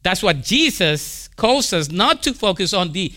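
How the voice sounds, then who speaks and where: A man's voice: sits high, sing-song, measured pace, sharp tone. A man with a Colombian accent preaching a sermon, emphatic and repeating key lines for emphasis. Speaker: pastor.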